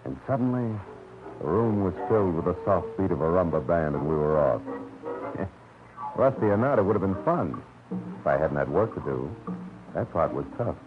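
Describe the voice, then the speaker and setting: An actor playing a tough, wisecracking private detective who also narrates, in 1940s radio drama style, sardonic and hard-boiled. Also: male voice, low-pitched, lively intonation, normal speed, pauses often, over music. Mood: narrating. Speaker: narrator and lead. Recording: lo-fi vintage radio broadcast.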